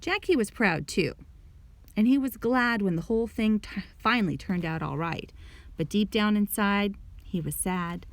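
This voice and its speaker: Female storybook reader, mid-pitched, narrating expressively for a young audience. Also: normal speed. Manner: clear storytelling with questions to young listeners. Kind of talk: expressive children's read-aloud narration.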